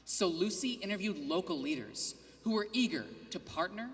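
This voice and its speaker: A man giving a rehearsed student team presentation in a steady, scripted way. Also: high-pitched, moderate pace, in a large hall.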